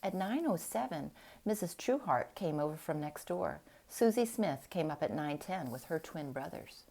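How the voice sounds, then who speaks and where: A woman reading a storybook aloud in an expressive style, with deliberate, dramatic phrasing. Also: sits low. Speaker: storybook reader.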